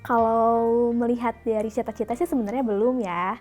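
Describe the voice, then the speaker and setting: A woman's voice: fairly high pitch, steady tone, moderate pace, a little mellow, faint music behind. A young woman in her early twenties who answers interview questions casually and thinks aloud as she talks. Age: young adult. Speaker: interviewee, video editor.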